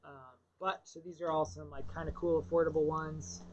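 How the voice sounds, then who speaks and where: Low-voiced woman, chatty comic shop host. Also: fairly even intonation, normal speed, darkish tone. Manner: chatty, enthusiastic, mimics people in quotes. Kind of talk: casual chat. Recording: dull lo-fi livestream audio, faint noise.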